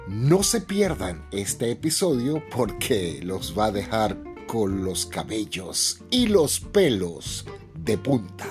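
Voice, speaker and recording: Animated male radio soap narrator. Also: on the low side. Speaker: host and narrator. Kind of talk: scripted radio drama narration.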